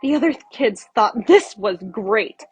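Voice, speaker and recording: A woman reads a picture book aloud with very expressive, varied intonation. Her voice has a husky grain, and faint music plays behind her. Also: on the high side, a little slow, no room sound. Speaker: children's story reader.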